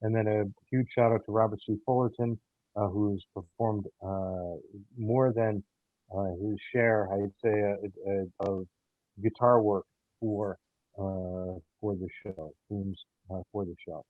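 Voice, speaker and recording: A man speaking haltingly as an interview guest, with frequent hesitations and pauses. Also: fairly low pitch, fairly even intonation, no room sound. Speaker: audio theater producer and guest. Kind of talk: casual interview chat. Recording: muffled, phone-like narrow sound.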